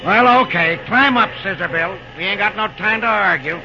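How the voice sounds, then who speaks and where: An actor playing a brash, slangy American railroad engineer, blunt and impatient, in old-time radio style. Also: male voice, high voice, normal speed. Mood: exasperated. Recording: muffled, hissy old-time radio recording.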